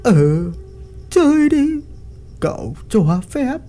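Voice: medium pitch, sing-song, slow pace, mellow, voice pushed up. A storyteller voicing a cruel, arrogant young master who barks orders at his servants. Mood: gloating. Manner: bossy, barking orders.

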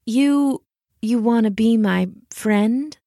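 A woman voicing a tatty, aloof female cat in a storybook, purring slowly and warily. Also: fairly high pitch, dark tone, no room sound, studio quality. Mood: wary, surprised. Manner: slow, purring, wary. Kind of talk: storybook character voice.

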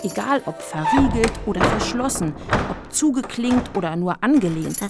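A woman's voice: medium pitch, expressive, normal speed, over loud music, close to an everyday voice. A woman narrator reading a scripted children's story in a radio play.

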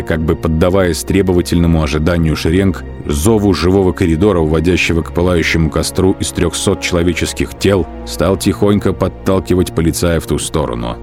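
A man's voice: sits low, a little mellow. A man narrating a literary radio production in a dramatic, scripted storytelling style. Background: faint music.

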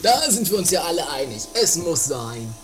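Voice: mid-range voice, sing-song, fairly quick, music in the background, lightly acted. A performer playing a pompous, gallant, easily baffled male protector in an improvised comedy radio play. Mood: snippy. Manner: pompous, swooping.

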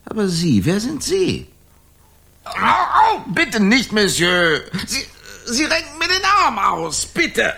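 An actor playing a frightened amnesiac with a head wound in a German radio crime drama, groggy, pained and halting. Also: male voice, sits high, wildly swooping pitch, creaky, sharp tone, full character voice. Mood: pained, pleading. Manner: halting, stammering, pleading. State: groggy, in pain.

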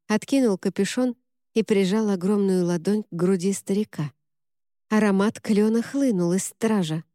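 A woman reading a novel aloud as an audiobook narrator, in an even, measured way. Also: mid-range voice, no echo, studio quality. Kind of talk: scripted narration.

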